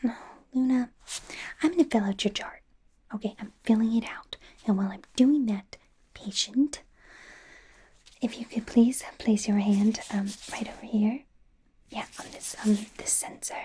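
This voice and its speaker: A woman playing an awkward, gentle, rambling werewolf doctor in a whispered ASMR medical roleplay, flustered and often correcting herself. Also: fairly high pitch, a little slow, pauses often, slight background noise, lightly acted. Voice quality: breathy whisper. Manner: flustered, self-correcting, giggly, over-explaining.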